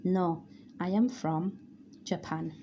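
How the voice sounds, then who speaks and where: Vrouw met lage, zachte stem die geduldig Arabisch uitlegt. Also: female voice, on the low side, slow pace, warm tone, highs cut off, slight background noise. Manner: repetitive, patient, reads out word lists. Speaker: Arabic teacher. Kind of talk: explanatory grammar lesson.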